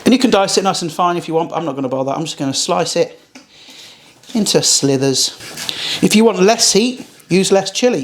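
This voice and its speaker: A man's voice: high voice, a little hiss or hum. Chatty man hosting a cooking show. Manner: chatty, blunt, swears casually. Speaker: cooking channel host. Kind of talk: casual cooking tutorial.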